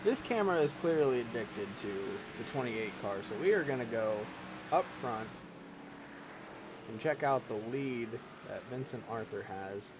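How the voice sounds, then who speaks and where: A man calling a sim race, casual and rambling, with asides. He is losing his voice and clears his throat now and then. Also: mid-range voice, animated, unhurried. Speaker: race commentator. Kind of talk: sim racing play-by-play commentary. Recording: loud engine noise behind. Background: race car engine sounds.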